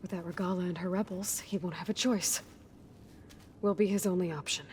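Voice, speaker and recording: Woman acting a resolute young warrior heroine, hushed. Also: fairly low pitch, steady tone, fairly quick, a little hiss or hum, lightly acted. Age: young adult. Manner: earnest, resolute, often hushed and breathy. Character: determined young warrior heroine, stubborn and protective. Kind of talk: dramatic video game cutscene acting.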